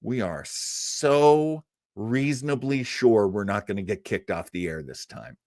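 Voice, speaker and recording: A man hosting a live show, chatting casually with viewers in a halting, self-deprecating way and laughing easily. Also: lowish voice, lively intonation, a little mellow, dry recording, studio quality. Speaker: host of a live old-time radio show stream. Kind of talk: casual live chat with viewers, rambling sign-off.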